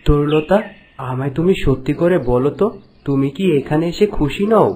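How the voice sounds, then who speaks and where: A man voicing a young, concerned prince with a gentle, solicitous manner in a fairy-tale cartoon. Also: a little high, normal speed, close to an everyday voice.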